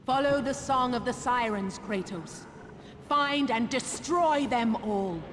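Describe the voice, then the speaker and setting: A woman playing an otherworldly, commanding goddess guide in a video game, deliberate and imperious. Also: a little high. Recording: long hall reverb, noisy ambience behind.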